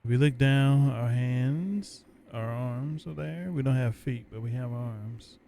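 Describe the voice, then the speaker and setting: A man's voice: medium pitch, measured pace, vocal fry, dark tone, a little hiss or hum. A man giving casual, laid-back gameplay commentary, musing to himself as he plays. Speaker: let's play gamer commentating.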